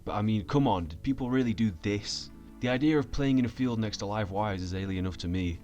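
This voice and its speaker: Male essayist, lowish voice, sardonic and sweary, scripted delivery with light music behind. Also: fast, muffled recording. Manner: deadpan, sweary. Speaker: video essayist. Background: background music.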